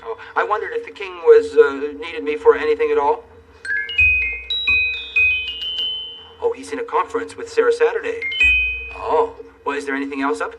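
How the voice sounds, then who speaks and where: A man playing a kindly, easygoing handyman in a children's television show, talking in a gentle, folksy, reassuring way. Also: a little high, quick pace, far from the mic, loud music behind the voice, close to an everyday voice. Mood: mild, polite.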